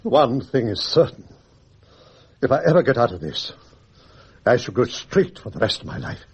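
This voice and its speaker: A man's voice: mid-range voice, big pitch swings, normal speed, slightly rough, muffled recording. An actor playing a fussy, pompous and anxious male judge in a radio drama, with an English Received Pronunciation accent. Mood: fretful brooding. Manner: fussy, exclamatory, brooding. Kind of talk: BBC radio drama acting.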